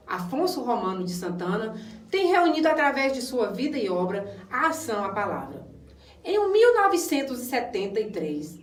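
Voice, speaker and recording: A woman with a Brazilian accent, an actress delivering a scripted theatrical monologue presentation. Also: a little high. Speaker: stage actress. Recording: echoey room, distant microphone.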